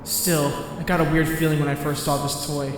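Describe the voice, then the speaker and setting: A man's voice: fairly high pitch, little pitch movement, a little fast, long reverb tail, soft background music, close to an everyday voice, low-quality recording. A man playing an earnest, intense teenage boy who muses about fate in a comic dub. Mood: intense, wondering. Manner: earnest, musing, introspective. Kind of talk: comic dub acting with first-person narration.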